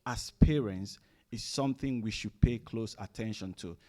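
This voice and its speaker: A man's voice: lowish voice, normal speed, a little mellow. Nigerian man giving a casual talk. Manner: conversational, many fillers and restarts. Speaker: guest speaker at a parents' summit. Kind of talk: conference presentation. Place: room with a seated audience.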